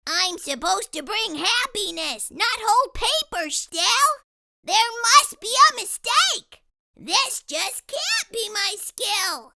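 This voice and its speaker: Lady doing a little eager stone for a kids book, super high squeaky pitch, slow and emphatic, bit of noise. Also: sing-song, creaky, pushed and bright, full character voice. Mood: dismayed. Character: small happy stone, earnest and eager to help.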